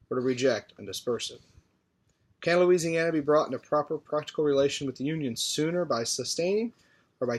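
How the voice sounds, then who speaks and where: A man reading a formal historical political speech aloud in a measured, deliberate way. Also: mid-range voice. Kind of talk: formal oratory read aloud from a text.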